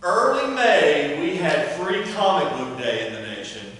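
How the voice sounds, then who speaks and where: A man's voice: fairly high pitch, huge pitch swings, unhurried. A man hosting a stage event with chatty, informal announcements, often repeating short phrases. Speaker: event host. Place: indoor stage before an audience. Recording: echoey hall, distant mic, loud crowd behind.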